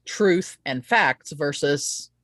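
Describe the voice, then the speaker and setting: A woman's voice: low-pitched, expressive, extremely slow, dry recording, studio quality. A woman hosting a conversational interview, talking in an emphatic way and given to tangents. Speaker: interview host.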